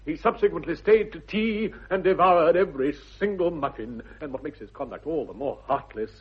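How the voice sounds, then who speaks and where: An actor playing a proper, pompous English gentleman guardian with an upper-class accent, formal and indignant, in a 1960s radio comedy. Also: a little high, expressive, moderate pace, breathy edge. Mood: stern, indignant. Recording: dull vintage radio recording.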